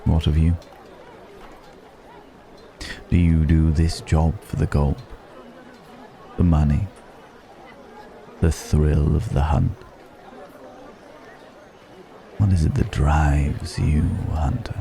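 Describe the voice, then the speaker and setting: A man playing a mysterious, philosophical ancient dragon disguised as a stranger, speaking in an intimate ASMR roleplay with long, pensive pauses. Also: rumbling low, level tone, creaky, mellow, a faint crowd, full character voice. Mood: brooding, musing. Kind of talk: ASMR roleplay, slow intimate dramatic monologue.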